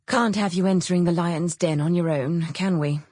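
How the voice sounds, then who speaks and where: Woman voicing a dry, mischievous bohemian woman, low-key husky. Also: moderate pace, a little mellow, dry recording, natural voice. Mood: scheming, delighted. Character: witty, bohemian, mischievous woman friend, petulant and caustic. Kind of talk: audiobook character dialogue.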